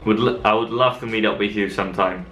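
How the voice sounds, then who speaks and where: Young man, Dutch accent, bright forceful tone, casual, chatty, lots of fillers. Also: lowish voice, quick pace. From Netherlands. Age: young adult. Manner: relaxed and chatty, frequent fillers. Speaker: cycle-touring vlogger. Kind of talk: casual vlog Q&A.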